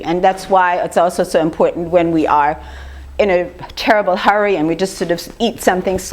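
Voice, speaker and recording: An older woman with a slightly rough voice gives an informal lecture, talking conversationally and putting questions to her audience. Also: lowish voice, a little mellow. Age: 50s or older. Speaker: speaker giving a lecture on raw food.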